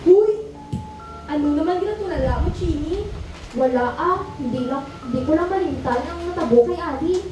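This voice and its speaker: A woman's voice: sits high, lively intonation, normal speed, music in the background. A young woman playing a schoolgirl haunted by a lost friend, acting dramatically in an amateur school radio drama. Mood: casual. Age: teenager or young adult. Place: school audio-visual room. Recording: echoey hall, distant mic, noisy.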